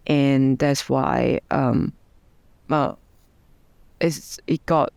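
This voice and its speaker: A woman's voice: a bass voice, fairly even intonation, drawn-out words, gravelly, darkish tone, smooth, no room sound. A woman with a Hong Kong accent answering interview questions, hesitant, with many ums and restarts. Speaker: guest, democracy advocate.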